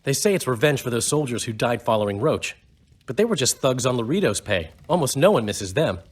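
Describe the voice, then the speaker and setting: An actor playing a wry, eloquent, cynical bard in a fantasy video game, with a husky voice. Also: male voice, mid-range voice, very animated, fairly quick. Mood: wry, informing. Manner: wry, theatrical phrasing.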